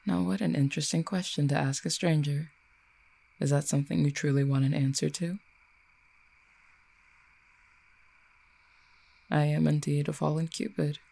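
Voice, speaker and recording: Someone playing an old immortal fallen cupid for audio rp, soft dark voice w lots of fry, fancy measured talk, wry. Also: medium pitch, steady tone. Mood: wry, curious. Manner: formal, eloquent, measured archaic phrasing. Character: ancient fallen cupid, poised, wry, quietly menacing lover. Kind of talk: roleplay audio drama, addressing the listener.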